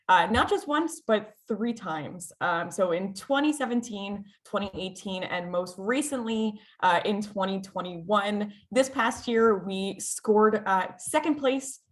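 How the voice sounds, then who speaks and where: An American woman presents over a video call in a bright, eager way. Her voice sits at a middle pitch for a woman. She fills pauses with "uh" and often restarts her phrases. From United States. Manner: upbeat, with many filler "uh"s and restarts. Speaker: school representative presenting. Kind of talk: webinar presentation.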